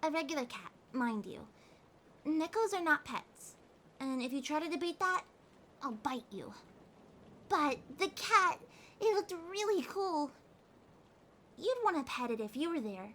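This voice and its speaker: Woman doing a needy sad cat girl for asmr roleplay, high voice, slow n halting, slips into whispers, rain noise behind. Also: very animated, sharp tone, full character voice. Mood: chatty, pouting, then playful, teasing. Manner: halting, emotional, drifting into breathy whispers. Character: needy grieving cat girl, tender and fretful. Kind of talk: intimate ASMR roleplay audio drama. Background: rain sounds.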